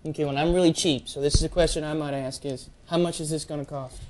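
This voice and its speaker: A man's voice: on the high side, fairly even intonation, quick pace, darkish tone. A middle-aged man hosting a campus TV interview show, asking questions in a playful, joking way from a studio desk. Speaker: talk show host. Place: small TV studio set, seated at a desk.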